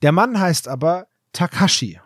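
A man's voice: sits high, expressive, normal speed, a bit bright, breathy edge, no room sound, studio quality. A man co-hosting a podcast, retelling a story in a loose, rambling, chatty way. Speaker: podcast co-host. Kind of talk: casual episode recap.

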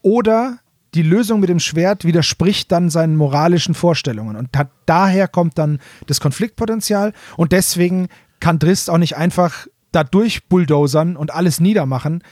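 A man with a fairly high voice chats as a podcast co-host. He explains things informally, fan to fan. The recording is close and dry. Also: moderate pace, darkish tone, dry recording. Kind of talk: casual explanatory fan chat.

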